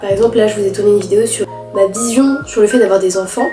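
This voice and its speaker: A teenage girl with a head cold, talking nasally through a candid, halting confessional vlog. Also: medium pitch, steady tone, reverberant, over music. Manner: halting, candid, many restarts and fillers. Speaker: vlogger.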